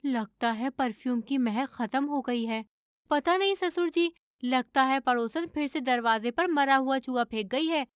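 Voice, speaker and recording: High-voiced, stubborn young daughter-in-law. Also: female voice, unhurried, clean tone, dry recording, clean studio sound. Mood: innocent, evasive. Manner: defensive, feigning innocence. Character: evasive, stubborn young daughter-in-law who hates bathing. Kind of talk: animated moral story dialogue.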